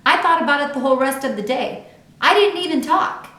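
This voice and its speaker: A woman's voice: high-pitched, brisk, a bit bright, pitched above the performer's own voice. A woman voicing a blunt, fretful young schoolgirl who narrates a children's storybook with childlike, plaintive emphasis. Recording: echoey untreated room.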